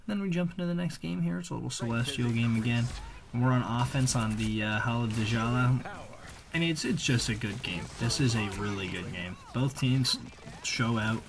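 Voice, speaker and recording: A man's voice: mid-range voice, normal speed, creaky, a crowd in the background. A man giving casual, slangy, conversational voice-over commentary on video gameplay, as a gaming video creator.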